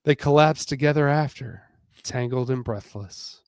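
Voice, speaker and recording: A man narrating a dramatic romance reading theatrically, with deliberate pauses, on a close microphone. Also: medium pitch, a little mellow, smooth, dry recording. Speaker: narrator and reader.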